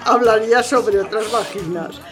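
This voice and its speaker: A woman's voice: fairly high pitch, moderate pace, a crowd in the background, lightly acted. A woman playing a frank, cheeky member of a bawdy gathering in comic audio theatre, colloquial and giggly. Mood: amused. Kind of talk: comic ensemble audio theatre, chatty banter.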